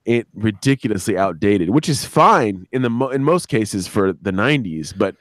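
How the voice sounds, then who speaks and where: Casual male podcast editor, low pitch, close dry sound, loose rambling delivery with repeated phrases. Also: expressive, moderate pace, dry recording. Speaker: sound designer and editor for an audio drama. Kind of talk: casual conversation on a live stream.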